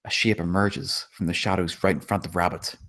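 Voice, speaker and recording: A man's voice: low-pitched, fairly even intonation, a little fast, a bit bright. A man narrating a screenplay, reading out stage directions at a table read.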